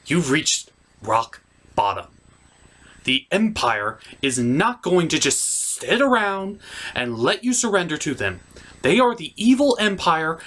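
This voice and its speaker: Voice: mid-range voice, a bit bright. A reviewer delivering a scripted comedic review in a sardonic, emphatic way, as the host of the show.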